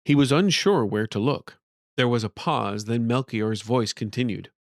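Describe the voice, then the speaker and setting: A man's voice: medium pitch, moderate pace, no echo. Male fantasy storyteller, dry close sound. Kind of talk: scripted fantasy audiobook narration.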